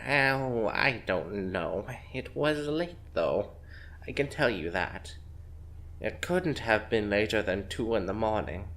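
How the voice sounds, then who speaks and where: A man's voice: fairly low pitch, expressive, takes it slowly, fairly bright tone, exaggerated voice. A man playing a chatty, evasive middle-aged grandmother in an audio drama, speaking hesitantly and conversationally. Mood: evasive, casual.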